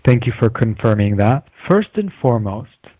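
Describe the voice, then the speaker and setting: A man acting as an energy healer, calmly and slowly guiding a client through deep breaths with soothing affirmations. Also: no echo, highs cut off. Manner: calm, slow, soothing guidance with steady affirmations. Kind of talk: guided healing session.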